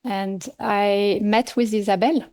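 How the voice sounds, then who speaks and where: Middle aged french lady on a podcast, talks steady, lots of ums and restarts. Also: medium pitch. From France. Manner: measured, many fillers and restarts. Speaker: nonprofit CEO, guest. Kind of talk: podcast interview.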